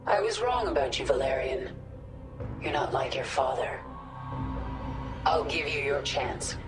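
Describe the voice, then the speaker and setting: A guarded, hard-edged warlord in a video game. Her firm voice carries clear echo, with music underneath. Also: extremely low pitch, lively intonation, unhurried. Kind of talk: video game cutscene acting. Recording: echoey sound under music.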